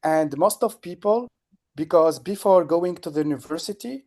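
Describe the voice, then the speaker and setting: A man chatting casually on a video call, in halting English with many restarts. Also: a little high, lively intonation, darkish tone. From Tunisia. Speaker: guest participant. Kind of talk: casual chat.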